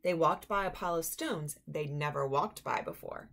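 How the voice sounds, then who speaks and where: A woman's voice: deep voice, gravelly. A woman in her thirties reading a children's picture book aloud expressively at home into a webcam. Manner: expressive, unhurried narration. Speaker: storyteller. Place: small room at home, indoors.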